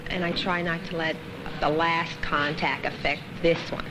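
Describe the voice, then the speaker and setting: A woman's voice: low voice, little pitch movement, normal speed, background noise. A woman working in customer service, talking in a documentary interview on old film sound.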